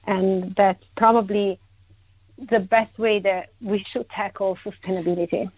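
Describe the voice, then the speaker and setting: A woman from Italy, a guest in a conversational interview, emphatic and quick to repeat her agreement. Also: medium pitch, fairly even intonation, no echo. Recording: tinny phone-line sound, dry.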